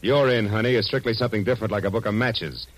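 An actor playing a cynical, tough-talking private detective in the wry, slangy manner of 1940s radio noir. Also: male voice, fairly low pitch, fast talker, natural voice, poor audio. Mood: tough, needling. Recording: old 1940s radio broadcast, music behind.

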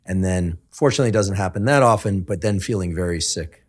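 Low-voiced thoughtful male host. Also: fast. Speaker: podcast host. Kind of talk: casual conversation.

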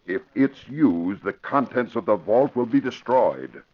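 Old radio police commissioner, american, earnest and commanding, raspy low voice, muffled lo-fi recording. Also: male voice, animated, measured pace. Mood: grave, urgent. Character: earnest, authoritative male police commissioner briefing an ally. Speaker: actor in a radio drama. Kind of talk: classic radio drama acting.

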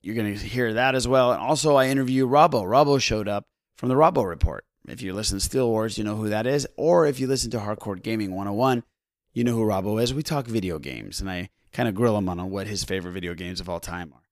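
A man's voice: lowish voice, animated, fairly quick, no room sound, studio quality. A man hosting a podcast, talking casually as he introduces and wraps up segments. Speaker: podcast host.

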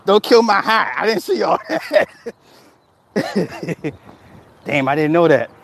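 A man's voice: high voice, sing-song, takes it slowly, vocal fry, a little hiss or hum. A man hosting a casual livestream who reads chat comments and tells stories in a loose, profane way, often laughing. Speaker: livestream host reading chat.

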